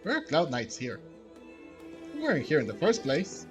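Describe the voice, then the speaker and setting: A man's voice: on the high side, wildly swooping pitch, normal speed. A man streaming a game, giving casual commentary in short remarks as he reads and reacts. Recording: faint game music behind.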